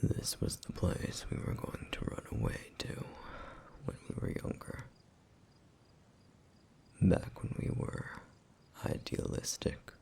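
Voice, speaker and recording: A man playing a brooding, protective flame demon in an ASMR roleplay, speaking in a grave, breathy, gravelly near-whisper with deliberate pauses. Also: darkish tone, lightly acted. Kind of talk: ASMR roleplay, intimate scripted monologue.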